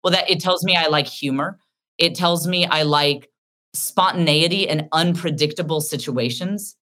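A middle-aged woman with a deep voice talks as an interview guest. She tells frank, animated stories about herself, and her tone is bright and forceful. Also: normal speed. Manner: candid, animated storytelling. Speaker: author and comedian guest. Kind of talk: casual interview talk.

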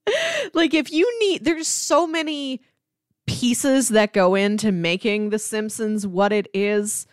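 A woman's voice: fairly high pitch, animated, slow pace, no echo, studio quality. A woman co-hosting a show, talking in a casual, conversational way. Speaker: podcast co-host. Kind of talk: casual chat about TV sitcoms.

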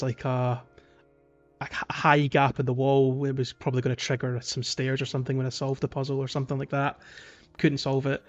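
A man's voice: mid-range voice, lo-fi sound. Man chatting on a gaming podcast over music. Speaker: podcast co-host. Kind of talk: casual video game podcast discussion.

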